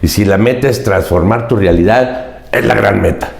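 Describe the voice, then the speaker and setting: An older Mexican man with a low voice speaks as a podcast guest. He talks earnestly and emphatically, often circling back to repeat his main points. The recording is roomy, with a noticeable echo. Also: moderate pace, vocal fry, darkish tone. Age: 70s. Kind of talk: reflective interview storytelling.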